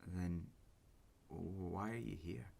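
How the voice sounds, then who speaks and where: A young man playing a grieving, intense romantic on a first date in a naturalistic sci-fi audio drama, earnest and halting, with a husky voice. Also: low-pitched, fairly even intonation, warm tone, full character voice. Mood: subdued. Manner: earnest, halting, flares into agitation.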